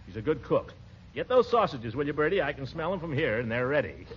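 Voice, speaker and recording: An actor playing a pompous, flustered middle-aged bachelor uncle in a blustering, theatrical 1940s radio comedy style. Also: male voice, fairly high pitch, sing-song, fast. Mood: blustery, self-satisfied. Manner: blustering, theatrical, swooping from coy to grumbling. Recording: muffled, noisy old radio recording.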